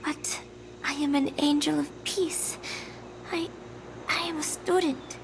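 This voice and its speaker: A woman playing a gentle, confused young student in a video game, speaking softly and hesitantly and trailing off. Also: high voice, fairly even intonation, takes it slowly, creaky, noisy background, exaggerated voice. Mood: confused, weary. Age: young adult. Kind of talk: dramatic video game acting.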